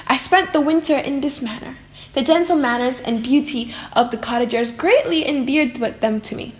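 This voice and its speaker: High voice, woman playing a lonely tender creature, theatrical storybook narration, emotive, drawn-out words. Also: lightly acted. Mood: yearning. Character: lonely, tender, wretched monster, eager to learn. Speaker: audiobook narrator. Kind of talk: dramatic, slightly silly audiobook reading.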